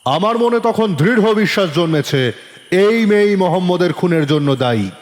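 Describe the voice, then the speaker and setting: নাটকীয় ওঠানামার গলায় পুরুষ গল্পকথক. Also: male voice, sits high, expressive, normal speed. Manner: theatrical, stern when questioning. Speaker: narrator playing a police detective. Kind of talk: dramatised first-person story narration. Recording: long reverb, faint music behind.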